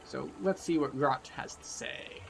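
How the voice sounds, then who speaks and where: A man's voice: a little high, unhurried, vocal fry, a bit bright, a little hiss or hum. A man giving step-by-step playthrough commentary as a video game guide, explaining things in an instructive way.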